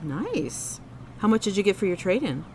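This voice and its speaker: Animated, sardonic woman investigator. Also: mid-range voice, normal speed, a little hiss or hum. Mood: curious, businesslike. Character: ambitious, anxious woman investigator worried for her job. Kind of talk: contemporary radio drama acting.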